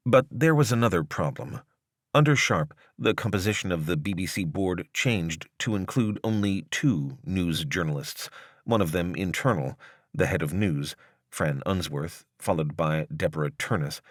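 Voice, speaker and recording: A man reading a scripted piece of long-form journalism as narrator, in a measured, articulate way. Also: sits low, expressive, no room sound, studio quality.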